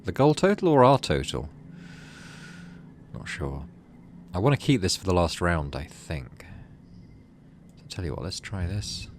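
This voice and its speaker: Low-voiced man with lively, swinging intonation. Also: measured pace, pauses often, a little hiss or hum. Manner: thinks aloud in tentative, self-questioning asides. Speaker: gaming video host. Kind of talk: let's play commentary mixed with reading game narration aloud.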